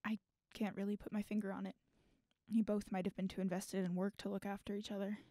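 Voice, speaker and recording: A woman playing a guarded, regretful, bitter adult in an audio drama, speaking haltingly. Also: mid-range voice, little pitch movement, dark tone, dry recording, clean studio sound, close to an everyday voice. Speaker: voice actor in student audio drama. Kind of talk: naturalistic audio drama dialogue.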